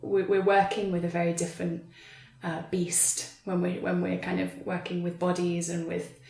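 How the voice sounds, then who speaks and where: A woman talking thoughtfully in an interview as a writer, choosing her words carefully and often pausing to rephrase. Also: lowish voice, completely flat delivery, darkish tone. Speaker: writer adapting an audio drama for stage. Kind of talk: thoughtful conversational interview talk. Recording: roomy, echoey sound.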